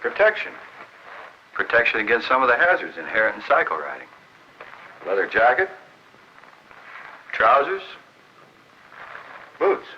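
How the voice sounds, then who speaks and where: A man's voice: medium pitch, wildly swooping pitch, unhurried, pauses often. An American man acting in a 1960s training film as an earnest motorcycle rider who patiently explains safety. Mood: earnest, instructive. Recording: dull, noisy 1960s film soundtrack.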